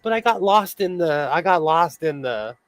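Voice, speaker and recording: Middle-aged american guy on a sewing stream, laughs a lot, slightly higher voice. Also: animated, moderate pace, no room sound. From United States. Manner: chatty, laughs often, self-deprecating. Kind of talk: casual chat. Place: home, live stream.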